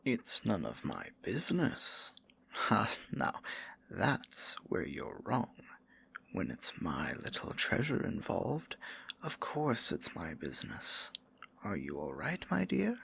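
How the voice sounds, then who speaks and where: A man playing a tender, possessive, courtly dark sorcerer in an intimate fantasy ASMR roleplay, speaking formally in a breathy, gravelly near-whisper. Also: fairly low pitch, wildly swooping pitch, full character voice. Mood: teasing concern. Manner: formal, archaic endearments, slow deliberate phrasing.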